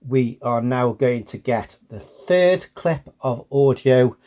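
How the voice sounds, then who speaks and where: A man's voice: medium pitch, takes it slowly, lo-fi sound. A British man giving a casual, plain-spoken explanation as a hobbyist presenter.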